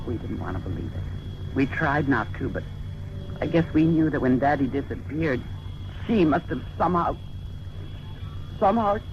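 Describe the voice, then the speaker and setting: Distraught, halting young daughter. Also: female voice, low-pitched, exaggerated voice. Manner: halting, emotional. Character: distraught grieving daughter confiding in the detective. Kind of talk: 1950s radio drama acting. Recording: dull, noisy old radio recording.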